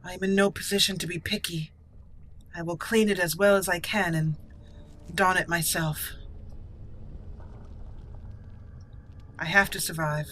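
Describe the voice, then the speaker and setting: Lady roleplaying exiled dwarf noble girl, proud n defiant, very solemn and dramatic, bright voice. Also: on the low side, steady tone, moderate pace, a little hiss or hum, close to an everyday voice. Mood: grim resolve. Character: proud young exiled female dwarven noble, wronged and defiant.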